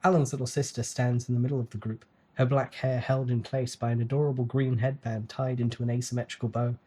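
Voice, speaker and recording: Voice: mid-range voice, level tone, fast talker, dark tone. A narrator giving a dramatic, scripted reading of a novel.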